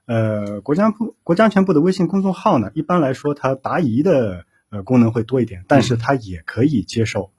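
A man answering as a police officer guest, explaining things informally in a measured way. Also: mid-range voice, expressive, darkish tone, husky.

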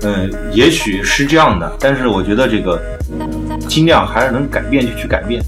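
A man talks quickly with a lively, swinging pitch, explaining his views as host of a casual music discussion. He is opinionated and conversational, with music playing behind him. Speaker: podcast host.

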